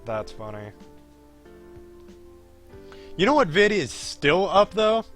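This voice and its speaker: A man's voice: high-pitched, very animated, slow pace, a bit bright. A man streaming a game, reading its text aloud and chatting casually in between. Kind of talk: reading a visual novel aloud on a live stream with casual chat. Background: game music.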